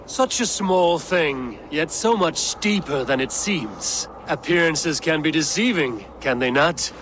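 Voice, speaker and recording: An actor playing a sly, persuasive god in disguise in a fantasy video game. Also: male voice, a little high, lively intonation, slow pace, fairly bright tone, a little hiss or hum. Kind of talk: video game cutscene acting. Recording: game audio with ambience.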